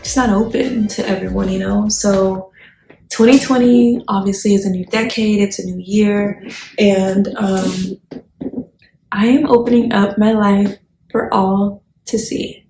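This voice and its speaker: A woman's voice: mid-range voice, fairly even intonation, measured pace, gravelly, mellow. Young American woman chatting in an echoey room. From Texas, United States. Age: 20s. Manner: chatty, conversational, sprinkled with slangy interjections. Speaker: YouTube vlogger. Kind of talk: casual personal storytelling to viewers. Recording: echoey room, faint background noise.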